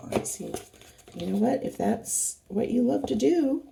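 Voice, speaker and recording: A middle-aged woman with a hoarse voice from a cold, chatting casually and rambling as she unboxes craft kits for her channel. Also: a little high, expressive, unhurried, background noise. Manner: rambling, chatty, many fillers and restarts.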